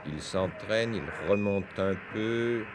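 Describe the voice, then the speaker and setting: A man's voice: on the low side, takes it slowly. A man giving explanatory, didactic science commentary over footage on a 1970s television news broadcast. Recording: noisy 1971 French TV newsreel.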